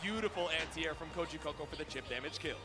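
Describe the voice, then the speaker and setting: A man's voice: on the high side, fast. A man giving live fighting game tournament commentary. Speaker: esports commentator. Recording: music behind the voice.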